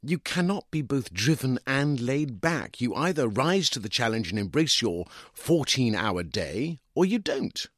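A man's voice: medium pitch, very animated, measured pace, hardly any pauses, fairly bright tone, no echo, studio quality, pitched above the performer's own voice. A man reading a short story as a smug, condescending middle-aged divorced lawyer with an English accent, argumentative and self-justifying. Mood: opinionated, dismissive. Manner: opinionated, condescending, emphatic. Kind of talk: dramatised first-person short story reading.

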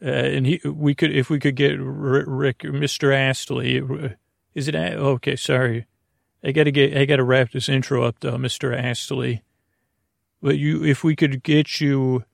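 Gravelly guy doing a rambly sleep podcast, lots of hesitating and wandering off, really dry sound. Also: medium pitch, dry recording. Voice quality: gravelly, creaky. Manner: hesitant, halting, trailing off into tangents. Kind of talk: rambling, meandering bedtime monologue.

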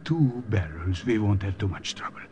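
An actor playing a kindly, cautious old man with a halting, polite manner, in 1950s radio drama style. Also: on the low side, lively intonation, a little mellow, natural voice. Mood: cautious, hesitant. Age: older. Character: cautious, kindly old Norwegian underground contact. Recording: dull, noisy old radio recording.

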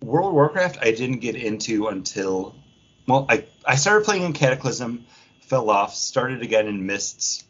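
A man co-hosting a podcast in casual, animated pop culture chat, swearing freely and acting out imagined quotes. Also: medium pitch, unhurried, breathy edge. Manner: profane, acts out imagined quotes mid-talk. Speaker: podcast co-host. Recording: dull, lo-fi audio.

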